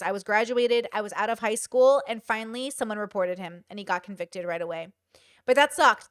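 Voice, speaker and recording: A young woman hosting a commentary show, talking straight to her viewers in a casual, animated way with some swearing. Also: on the high side, no room sound, studio quality.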